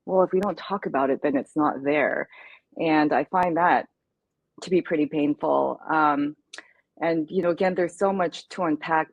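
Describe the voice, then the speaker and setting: A woman's voice: low-pitched, creaky, husky, no echo. A woman speaking in an interview, earnest and reflective as she talks through her experience. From United States. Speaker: guest speaker. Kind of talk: candid interview conversation.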